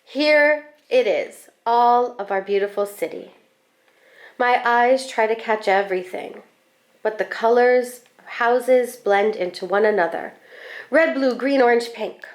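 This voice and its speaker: A woman with a fairly high voice reads a picture book aloud for children. Her phrasing is expressive and deliberate, and she stretches out words to bring the story to life. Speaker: school staff member reading a picture book aloud.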